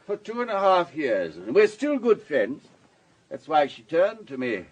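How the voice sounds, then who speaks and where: A wealthy man in his sixties in a radio drama. His husky voice rises and falls animatedly as he holds forth, urbane and paternal, like one used to arranging other people's lives. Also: a little high, huge pitch swings, measured pace, highs cut off, exaggerated voice. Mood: earnest, confiding. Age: 60s. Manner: urbane, discursive, worldly. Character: wealthy older businessman, urbane, paternal ex-husband.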